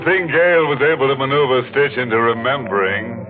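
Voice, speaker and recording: An actor playing a ghoulish, droll host of a 1940s radio mystery show, mocking and gleefully macabre. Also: male voice, medium pitch, lively intonation, close to an everyday voice. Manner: droll, mocking, drawn-out delivery. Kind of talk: 1940s radio horror hosting. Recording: muffled vintage radio, music behind.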